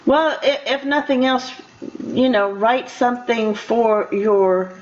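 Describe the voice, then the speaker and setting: A woman's voice: on the high side, slow. An older woman hosting a conversational interview, encouraging and often repeating herself as she goes. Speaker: radio show host. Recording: muffled radio interview sound.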